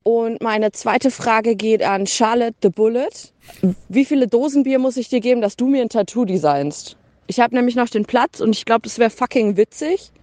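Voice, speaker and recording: A woman's voice: fairly high pitch, normal speed, a little mellow, no room sound. A woman leaving a casual, slangy voice message with a question for a show. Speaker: listener sending a question by voice message.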